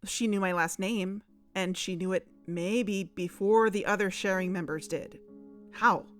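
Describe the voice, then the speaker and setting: A woman's voice: medium pitch, soft background music, close to an everyday voice. A woman playing a hesitant, troubled investigator. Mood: accusing. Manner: hesitant, soft, halting. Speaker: investigator in audio drama. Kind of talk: audio drama acting.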